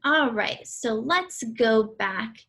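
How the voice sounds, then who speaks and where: A woman reading aloud an educational book for children in a deliberate, instructional way. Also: on the high side, animated, a bit bright. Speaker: read-aloud reader for children.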